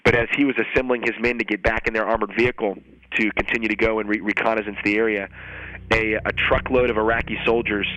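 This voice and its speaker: A male military officer recounting events first-hand in a measured, halting manner. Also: on the low side, little pitch movement, bright, forceful tone, no room sound, lo-fi sound.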